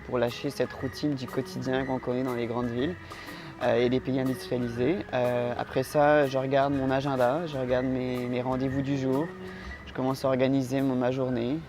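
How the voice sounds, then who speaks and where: A man from France answering interview questions in a calm, hesitant way, with frequent filler sounds. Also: mid-range voice, moderate pace. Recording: music playing underneath.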